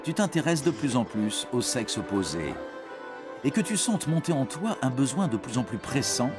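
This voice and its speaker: A man narrates an educational film for young viewers with very expressive, swooping intonation. He explains things in a reassuring way, speaking at a middling male pitch. Music plays clearly behind his voice. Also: moderate pace. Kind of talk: scripted educational narration addressed to young teens. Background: background music.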